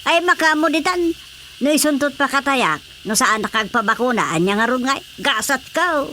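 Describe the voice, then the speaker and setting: Wary rural Ilocano woman, high-pitched, headstrong, dismissive, for radio drama comedy. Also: animated, normal speed, pushed and bright, slight background noise. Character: stubborn, fearful countrywoman wary of vaccines.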